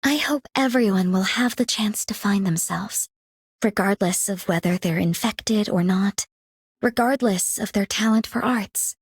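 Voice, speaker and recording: Breathy, slow-spoken gentle idealist. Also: female voice, mid-range voice, no room sound, clean studio sound. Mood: tender, hopeful. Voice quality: husky, breathy. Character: soft, idealistic, compassionate female dreamer. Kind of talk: scripted game character voice line.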